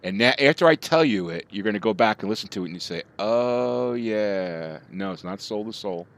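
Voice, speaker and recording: Deep-voiced middle-aged man chatting casually. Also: expressive, moderate pace, a bit bright. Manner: rambling, chatty with live chat. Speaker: streamer. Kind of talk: casual live-stream chat.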